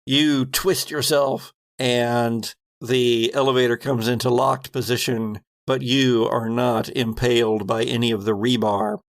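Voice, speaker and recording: Slow, gravelly male game master. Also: mid-range voice, little pitch movement, dry recording. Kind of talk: improvised tabletop game narration.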